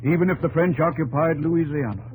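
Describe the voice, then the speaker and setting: An actor playing a thoughtful, fatherly American president in a 1950s radio drama, with a gentle, measured, slightly hesitant manner. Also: on the high side, close to an everyday voice. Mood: grave. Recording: noisy old 1950s radio recording.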